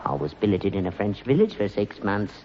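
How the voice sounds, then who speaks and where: A man's voice: on the low side, very animated, a little fast. An actor playing a crafty, cheeky old London father, sly and cantankerous, in British sitcom style. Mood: needling, boastful. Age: older. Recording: muffled, noisy archive recording, echoey hall.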